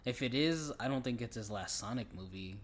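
A man's voice: mid-range voice, lively intonation, brisk, slight background noise. A man co-hosting a casual movie review podcast, chatting in an easygoing, agreeable way. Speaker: podcast co-host.

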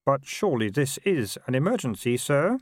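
A man's voice: mid-range voice, sing-song, moderate pace, slightly rough, dry recording, studio quality, voice pushed up. A man voicing a deferential, concerned aide in an audiobook, formal and respectfully insistent. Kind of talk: audiobook character voice.

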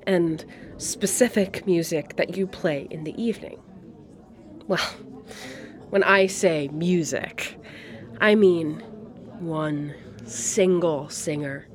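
An actress playing a proud, wistful Syrian refugee woman in her sixties, a retired art teacher chatting reflectively in a dramatic monologue. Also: on the low side. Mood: chatty, reflective. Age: 60s. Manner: reflective, conversational, pausing between phrases. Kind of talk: dramatic monologue as intimate coffee shop chat. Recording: coffee shop chatter behind the voice. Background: cafe crowd chatter.

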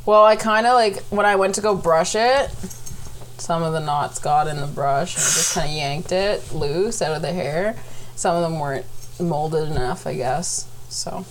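A loud Canadian woman co-hosting a podcast in casual banter, brash, sweary and full of slang. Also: low voice, normal speed. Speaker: podcast co-host.

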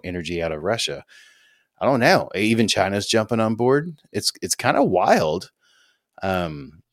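A man's voice: low voice, no room sound, clean studio sound. A man co-hosting a podcast, chatting casually in a rambling, opinionated way and swearing freely. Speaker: podcast co-host. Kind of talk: casual chat.

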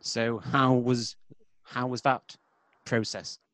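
A man's voice: medium pitch, fairly even intonation, slow, fairly bright tone, dry recording. Formal-sounding male podcast host. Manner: formal, slightly florid phrasing. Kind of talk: interview questions.

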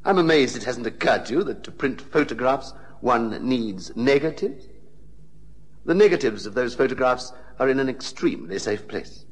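An actor playing a smug, sneering blackmailer in a 1960s radio drama, speaking formally and mockingly with a gravelly, husky voice. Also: male voice, mid-range voice, animated, moderate pace. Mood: gloating, threatening. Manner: mocking, pompous, formal diction. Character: smug, sneering male blackmailer, coolly menacing.

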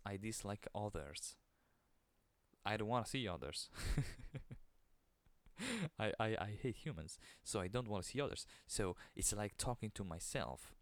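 Italian guy podcasting solo, really low voice, soft and calm, stutters a bit, close dry mic. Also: dry recording. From Italy. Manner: kept low and soothing, occasional stutter. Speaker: podcast host. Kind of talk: casual solo monologue. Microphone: podcast microphone.

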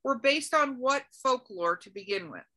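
A woman's voice: high voice, expressive, normal speed, bright, forceful tone. A woman hosting a podcast, chatty and joking as she interviews an author. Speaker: podcast host.

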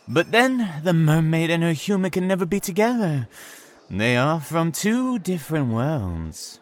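A man's voice: on the high side, big pitch swings, measured pace. A man acting in an audio drama as a mysterious, insistent newcomer, with formal, teasing storyteller phrasing. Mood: knowing, storytelling. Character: mysterious, courteous, insistent man new in town.

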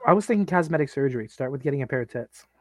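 A man's voice: medium pitch, animated, rapid-fire, dry recording. A man in his late thirties co-hosting a podcast, rambling and joking crudely in casual banter. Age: 30s. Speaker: podcast co-host.

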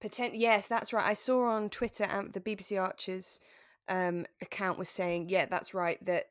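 A woman co-hosting a podcast, talking in a relaxed, chatty, giggly way. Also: mid-range voice, normal speed, clear, resonant tone, no echo, clean studio sound. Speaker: podcast co-host. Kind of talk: relaxed conversational podcast chat.

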